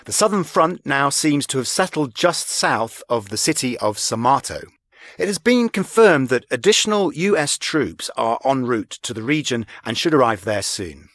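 An actor as a formal male news anchor in a video game. His mid-pitched voice is very animated in intonation, delivering a bulletin in a dry studio sound. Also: moderate pace, dry recording, lightly acted. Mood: formal, serious.

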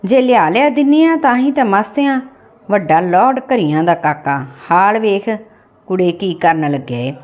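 A woman's voice: mid-range voice, lively intonation, a little slow, darkish tone, natural voice. A woman narrator voicing a spiteful, sarcastic village wife in a story, taunting and scolding. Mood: angry sarcasm. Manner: sarcastic, nagging.

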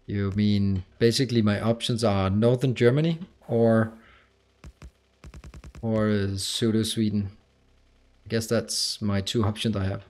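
A man's voice: lowish voice, fairly even intonation, unhurried, long pauses, darkish tone. Danish-accented man chatting while gaming. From Denmark. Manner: rambling, chatty, reads and answers chat. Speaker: streamer. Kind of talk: casual livestream chat while playing a game.

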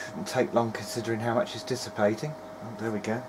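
A man giving casual running commentary as he tests electronics, muttering to himself in short, halting remarks. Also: lowish voice, level tone. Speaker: hobbyist presenter of a video diary. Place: small cluttered indoor electronics workbench. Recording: clear background noise in workshop.

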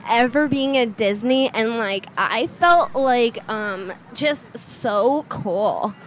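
A woman's voice: a little high, lively intonation, slow pace, noisy background. A woman in her thirties improvising a prank call in casual slangy speech, on a close studio mic. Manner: slangy, uses filler "like". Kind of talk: improvised prank call as a gushing tourist wife. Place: small decorated indoor studio. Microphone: close studio mic on a boom arm.

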